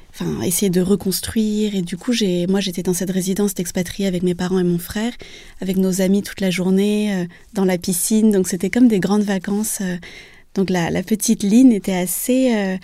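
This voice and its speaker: A woman's voice: medium pitch, warm tone, no room sound, clean studio sound. A woman in her twenties from Vietnam, answering interview questions with many hesitations and restarts. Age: 20s. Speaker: author interviewed. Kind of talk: radio interview.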